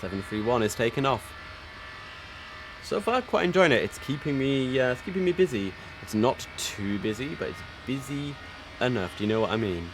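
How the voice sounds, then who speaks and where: A man's voice: mid-range voice, very animated, normal speed. A man streaming a game, mixing casual commentary with air traffic control instructions over background noise.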